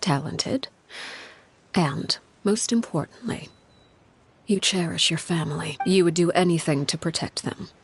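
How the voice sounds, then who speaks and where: Game matriarch: lowish voice, composed, formal, authoritative, stern but caring. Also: female voice, measured pace, slight background noise. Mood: firm, encouraging. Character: commanding, composed, stern yet caring female organization leader. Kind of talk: video game drama dialogue.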